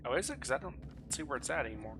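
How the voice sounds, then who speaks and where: A man's voice: medium pitch, lively intonation, rapid-fire, creaky, music in the background. A man chatting casually with friends while gaming online, joking and swearing freely in a salty way. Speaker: gamer playing online with guildmates.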